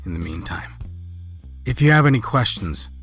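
A man's voice: on the low side, sing-song, moderate pace, a bit bright, soft background music. A man voicing a fed-up, threatening detective in an audiobook, scolding in formal words. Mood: cold, stern.

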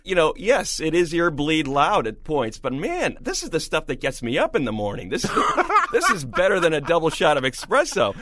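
A man's voice: on the high side, huge pitch swings, moderate pace, fairly bright tone. A man co-hosting a music show, giving an enthusiastic, emphatic album review. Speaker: music critic and show co-host. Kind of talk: lively album review discussion.

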